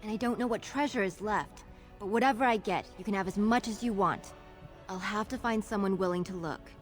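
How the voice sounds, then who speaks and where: A woman's voice: medium pitch, fairly quick, faint music behind, close to an everyday voice. A woman playing an earnest, grateful young dwarf noblewoman in a fantasy video game. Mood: grateful, proud. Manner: earnest, heartfelt.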